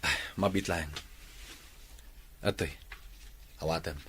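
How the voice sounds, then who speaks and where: A man's voice: sits low, steady tone, slow, fairly bright tone, husky, full character voice. A man acting an earnest, determined young rancher and father in a village radio drama. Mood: matter-of-fact.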